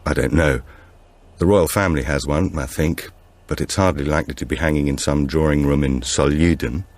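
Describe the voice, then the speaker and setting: A man's voice: very deep voice, a little fast, darkish tone. Refined gallery woman acted by a man. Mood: knowledgeable. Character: cultured woman at an art gallery, admiring.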